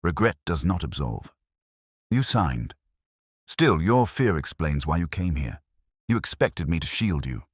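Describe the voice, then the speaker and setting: A man's voice: low-pitched, big pitch swings, a little slow, husky, no room sound, clean studio sound, full character voice. A man voicing an imperious, shrewd detective in an audiobook, with formal, clipped, commanding pronouncements. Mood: stern, probing. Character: imperious, shrewd male detective, coldly judging.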